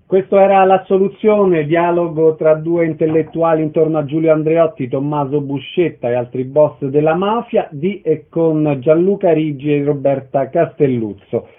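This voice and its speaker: A man's voice: a little high, normal speed, few pauses. A man hosting a radio programme, presenting and interviewing, heard through a thin, narrow radio sound. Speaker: radio host.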